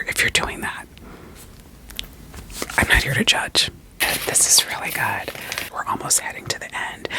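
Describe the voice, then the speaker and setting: Soft ASMR whisper, playful therapist roleplay. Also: mid-range voice, rough recording. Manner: soothing, reassuring, playful, with gentle check-in questions. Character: doting, quirky therapist evaluating a vampire client.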